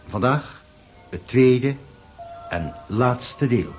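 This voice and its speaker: A man formally announcing a radio play in a measured way. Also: medium pitch, expressive. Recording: music behind the voice.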